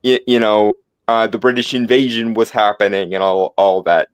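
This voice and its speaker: A man's voice: lowish voice, steady tone, moderate pace, clear, resonant tone, dry recording. A man nearly thirty in a casual comics chat, opinionated and emphatic about his pet peeves. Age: late 20s.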